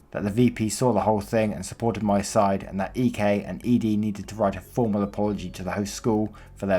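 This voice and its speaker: A man's voice: fairly low pitch, a little mellow. A man reading online stories aloud, telling them plainly and adding offhand comments of his own. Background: faint background music.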